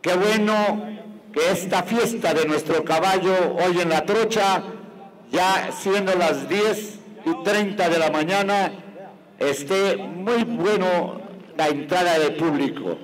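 A man's voice: sits high, slow pace. A man acting as official announcer, welcoming the audience and narrating the final round of a horse competition. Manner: welcoming, addressing the crowd. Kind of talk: arena commentary. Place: large indoor horse show arena. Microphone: PA system. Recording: long hall reverb over arena speakers.

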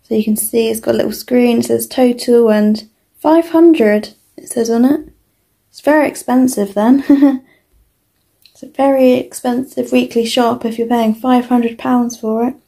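A young woman with a British accent giving a casual, informal toy review as an unhurried walkthrough. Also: a little high, steady tone, creaky, mellow.

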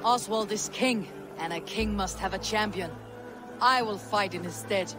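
A woman performs a resolute Viking fighter for a video game. She speaks gravely and plainly, with firm, stoic restraint, over a clear musical score. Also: mid-range voice, normal speed, over music, close to an everyday voice. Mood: firm resolve. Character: steadfast female Viking warrior, stoic and resolute. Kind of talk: dramatic video game acting.

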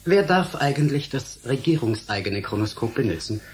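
A man's voice: mid-range voice, lively intonation, moderate pace, a little hiss or hum, close to an everyday voice. An actor playing a defiant, principled young scientist in a German radio play, insistent and articulate in his challenges. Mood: challenging. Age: young adult. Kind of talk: German radio drama acting.

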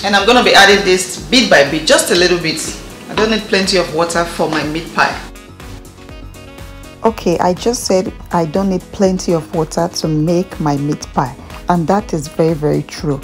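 A woman's voice: lowish voice, moderate pace, a bit bright. A woman with a Nigerian accent, narrating a home cooking tutorial in a casual, chatty style as a food vlogger. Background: background music.

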